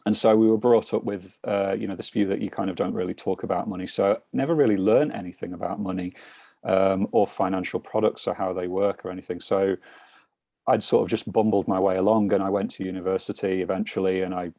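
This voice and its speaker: A man's voice: sits low, gravelly, dark tone, dry recording. A middle-aged man with a British accent, a financial mentor talking casually and hesitantly, with many ums and restarts. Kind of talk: casual chat on a video call.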